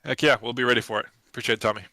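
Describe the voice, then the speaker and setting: Man, race winner interview, competitive, bright tone, dry sound. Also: medium pitch, fairly even intonation, very fast, no room sound. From New England. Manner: confident, competitive, lots of fillers.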